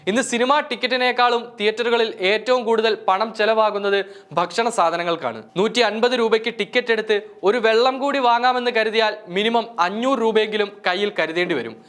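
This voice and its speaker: A man's voice: high voice, brisk, fairly bright tone. A man delivering a scripted news report as narrator.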